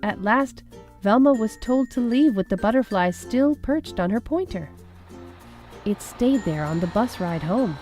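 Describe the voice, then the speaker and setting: A woman reading children's picture books aloud in an expressive storytelling style, with music behind her. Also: on the high side, a little slow. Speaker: storybook reader. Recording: music under the voice. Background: background music.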